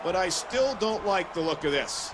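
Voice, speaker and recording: An actor voicing a male wrestling commentator with measured broadcast delivery in a video game. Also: sits high, expressive, a crowd in the background, background noise. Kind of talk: scripted sports commentary in a game.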